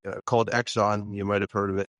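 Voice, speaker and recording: A man's voice: lowish voice, level tone, a bit bright, no room sound. Older pastor guest telling his story; sincere, hesitant delivery, very dry mic. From Louisiana, US. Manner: earnest, halting, many fillers and restarts. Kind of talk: personal testimony in a radio interview.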